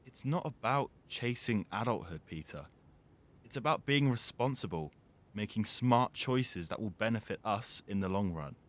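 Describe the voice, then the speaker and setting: A man playing an earnest, responsible teenage boy in an audio drama, speaking in a measured way. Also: on the low side, expressive, natural voice. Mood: earnest, reassuring. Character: Jack, serious, responsible teenage boy growing distant from his friend.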